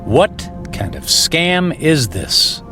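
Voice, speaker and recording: An actor playing a brash, skeptical workaholic in a radio drama, with a wry, flippant way of talking. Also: male voice, on the high side, very animated, very slow, full character voice. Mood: annoyed, sarcastic. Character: skeptical, sarcastic workaholic man, brash and mocking. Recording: music behind the voice.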